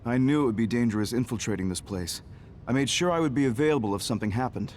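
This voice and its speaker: A man plays a commanding military general in a game cutscene, medium in pitch. He is firm, protective and authoritative, with a faint rumble of noise behind him. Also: fast talker, a little mellow, lightly acted. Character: commanding, protective adult male major general. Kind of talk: scripted video game cutscene acting.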